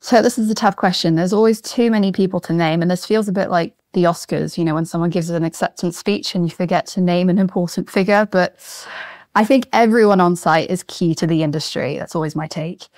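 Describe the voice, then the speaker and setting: A woman's voice: on the low side, brisk, darkish tone, no echo. A woman who grew up in Asia, a managing director on a podcast, chatting upbeat and reeling off long lists of names. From Asia (born in Singapore, grew up in Hong Kong and Japan). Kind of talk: casual podcast chat.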